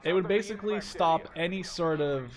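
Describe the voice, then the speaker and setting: A man's voice: high-pitched, normal speed, a crowd in the background. A man in his twenties giving casual gameplay commentary, informal and slangy with some swearing. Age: 20s. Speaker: gaming video host. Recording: loud game battle sounds behind voice. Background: artillery, gunfire and vehicles from the game.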